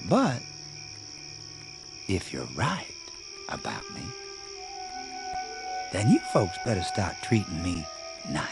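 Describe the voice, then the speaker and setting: A defiant young country fellow with a rural Southern drawl. He speaks slowly and plainly, his pitch swinging widely, stubborn and folksy, over prominent music in a radio play. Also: wildly swooping pitch, exaggerated voice. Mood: defiant, menacing calm. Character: defiant young country man suspected of being undead. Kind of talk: radio drama acting.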